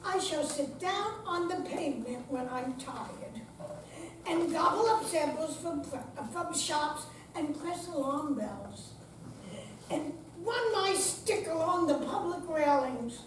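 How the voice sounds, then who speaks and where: An older woman reciting poetry aloud as an amateur reader, deliberate and stumbling slightly at times. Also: high voice, animated. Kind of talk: poetry recital before a live audience. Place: senior center room, recorded from afar. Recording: distant, echoey hall with clear background noise.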